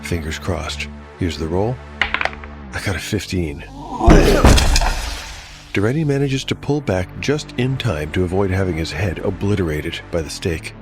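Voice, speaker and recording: A man narrating a dark fantasy tale in a scripted, dramatic style as game master. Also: sits low.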